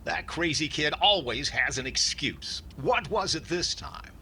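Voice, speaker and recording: A man playing a fussy, penny-pinching, exasperated radio comedy star with deadpan, defensive delivery in classic sitcom style. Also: a little high, measured pace, heavily acted. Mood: put-upon. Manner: deadpan, put-upon, defensive. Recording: very noisy recording with ambience.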